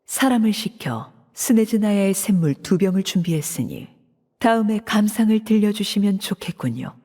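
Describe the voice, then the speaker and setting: A woman voicing a cold, composed female leader in a video game, speaking in a measured, formal, controlled way. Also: mid-range voice, expressive, warm tone. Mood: cool. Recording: reverberant game audio.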